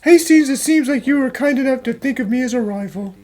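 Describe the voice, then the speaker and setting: A woman's voice: sits high, fairly quick, a little mellow, lightly acted. A woman voicing a proud, worn-out male chief inspector in an anime fan dub, formal and melodramatic, with music underneath. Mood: resigned, confessing.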